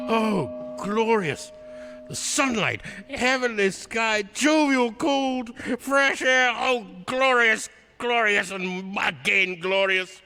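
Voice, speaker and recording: An actor playing a joyful, giddy old reformed miser with exuberant, effusive delivery in a theatrical radio drama. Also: male voice, very high voice, slow pace, sharp tone, smooth, soft background music, exaggerated voice. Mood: giddy, elated. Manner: exuberant, effusive, laughing, exclaiming. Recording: reverberant hall sound.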